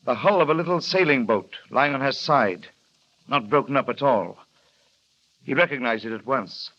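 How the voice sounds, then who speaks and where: An actor playing a dutiful middle-aged harbour master in an old radio drama, tactful and hesitant. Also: male voice, mid-range voice, normal speed, dull audio, lightly acted. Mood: grave.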